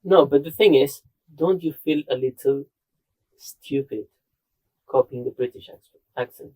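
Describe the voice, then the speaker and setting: A man's voice: medium pitch, unhurried, darkish tone, slightly rough, low-quality recording. A man with a Spanish accent chatting casually, rambling and filling his speech with "like.". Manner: rambling, with lots of "like". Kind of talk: casual chat.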